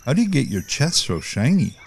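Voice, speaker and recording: An actor playing a dim, crude, cheerfully oblivious crewmate, blunt and casual, in a comic audio drama. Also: male voice, medium pitch, wildly swooping pitch, fairly quick, vocal fry, slight background noise. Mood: awed, oblivious. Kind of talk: audio drama comedy acting.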